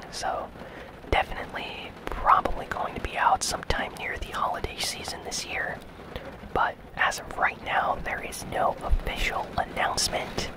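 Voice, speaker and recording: A host whispering casual gaming news in a soft, breathy, unhurried ASMR style. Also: poor audio.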